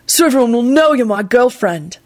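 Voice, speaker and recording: A woman voicing an excitable, stubborn young man in love in an audio story, blurting his words out emphatically. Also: mid-range voice, brisk, voice pushed up. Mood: determined.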